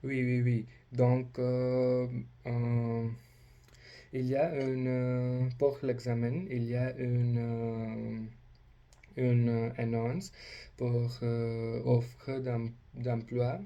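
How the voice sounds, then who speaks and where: A man with a non-native accent practising a polite role-play inquiry as a language learner, speaking haltingly with many hesitations. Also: mid-range voice, level tone, highs cut off. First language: not French.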